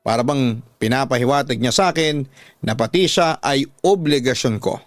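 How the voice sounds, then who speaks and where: A man's voice: mid-range voice, normal speed, dry recording, studio quality. A man with a Filipino accent narrating a scripted dramatic story for radio.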